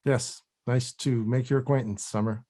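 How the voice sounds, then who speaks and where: A man's voice: mid-range voice, fairly even intonation, moderate pace, dark tone, lightly acted. An actor at a table read playing a wry, wary older male actor with a dry, hesitant delivery. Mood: polite, dry.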